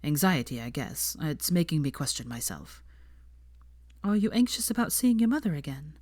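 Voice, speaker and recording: A woman voicing an anxious young man, speaking low and breathy. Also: sing-song, normal speed, darkish tone, no echo, clean studio sound. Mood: troubled. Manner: low, subdued, breathy delivery.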